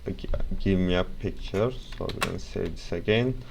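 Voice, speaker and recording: A young man with a Turkish accent, casually walking through a software tutorial with rambling asides and self-corrections. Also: low-pitched, moderate pace, creaky, slight background noise. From Turkey.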